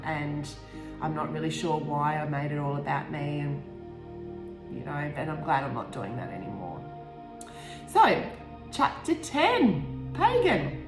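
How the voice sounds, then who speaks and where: A woman author narrating her own book, stumbling over and rereading the odd phrase. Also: on the low side. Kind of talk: audiobook-style narration with brief personal asides. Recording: roomy echo, faint music behind.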